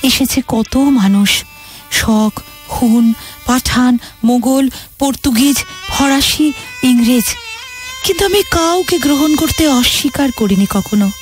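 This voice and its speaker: A woman plays a sorrowful motherly spirit of a nation in a poetic radio play. Her voice is high and unhurried, drawn out and emotive, over faint music. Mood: solemn, tender. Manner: emotive, drawn-out, declamatory delivery. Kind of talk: theatrical, poetic audio drama.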